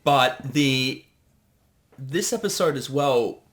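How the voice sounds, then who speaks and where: Bright-voiced man chatting in commentary. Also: mid-range voice, animated, slow pace. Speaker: director giving creator commentary. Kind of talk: casual commentary chat.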